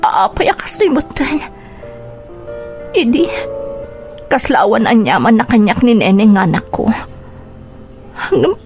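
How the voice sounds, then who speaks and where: A woman's voice: fairly high pitch, very animated, measured pace, pauses often, a little mellow, faint music behind. A woman playing a hurt, confused mother in a melodramatic radio drama, with an Ilocano accent. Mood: heartbroken, tearful. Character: hurt, confused young mother rejected by her own child.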